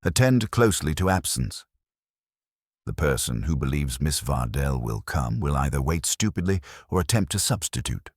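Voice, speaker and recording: A man voicing a stern, commanding genius detective in an audiobook, speaking in a dry, formal and deliberate way. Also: very deep voice, sing-song, breathy edge, no echo, heavily acted. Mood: terse, commanding.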